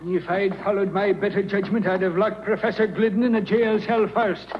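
A man's voice: high voice, steady tone, normal speed, lightly acted. An actor playing a blunt, doubtful policeman in a 1940s radio drama, folksy and grumbling with hesitant starts. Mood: insistent. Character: blunt, doubtful male police officer, detective's sidekick. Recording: muffled, faintly noisy 1940s radio recording.

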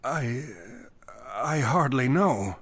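An actor playing a frightened, defensive company boss in a pulp thriller audio drama, with a nervous, hesitant manner. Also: male voice, mid-range voice, lively intonation, very slow, a bit bright, breathy edge, full character voice. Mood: shaken. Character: frightened, shaken male chemical company boss under police questioning.

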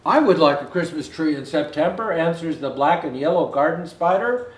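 High-pitched older man reading a storybook. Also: expressive, normal speed, far from the mic. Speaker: Santa Claus storyteller. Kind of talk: read-aloud children's picture book. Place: indoor room with Christmas decor. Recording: roomy indoor echo.

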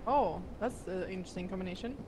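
A woman's voice: mid-range voice, fast talker, mellow, a little hiss or hum. A woman casually explaining things to a teammate in game voice chat. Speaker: game teammate guiding another player.

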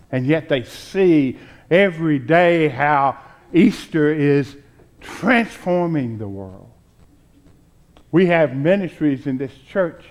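An American man in his sixties preaching a sermon as a pastor, deliberately, in short phrases with frequent pauses. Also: on the high side, darkish tone. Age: 60s. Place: church sanctuary, at a pulpit before a choir.

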